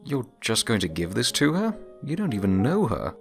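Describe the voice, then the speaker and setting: A man's voice: mid-range voice, huge pitch swings, moderate pace, gravelly, soft background music. A man voicing a skeptical young male pony who teases his friend in a wry, doubtful way in an audio story. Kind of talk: audio story character dialogue.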